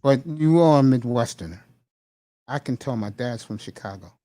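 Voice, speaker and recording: A man hosting a casual conversation, speaking earnestly and encouragingly with many pauses and fillers. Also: mid-range voice, expressive. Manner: warm, encouraging, hesitant fillers. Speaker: host.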